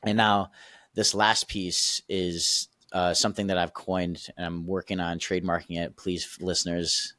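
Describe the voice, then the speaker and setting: A man's voice: low voice, little pitch movement, moderate pace, sharp tone, no room sound, clean studio sound. A man on a podcast as a guest coach, explaining his method casually and emphatically, checking in with the listeners as he goes and laughing easily.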